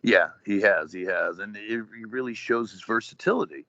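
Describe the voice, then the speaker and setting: Deep gravelly man in his forties. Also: moderate pace, sharp tone, no room sound. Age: 40s. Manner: rambling, lots of fillers and wrestling slang. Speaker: guest, retired wrestler. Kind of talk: casual podcast chat. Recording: dull lo-fi podcast audio, dry.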